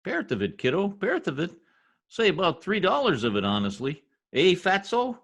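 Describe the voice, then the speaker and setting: Man playing a gleeful, swooping, husky blowhard husband. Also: high-pitched, big pitch swings, normal speed, a bit bright, full character voice. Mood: needling. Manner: folksy mangled grammar, teasing, chuckling, boastful. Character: gleeful needling blowhard husband, bungling new census taker. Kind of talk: 1950s radio comedy acting. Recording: remote video-call radio play recording.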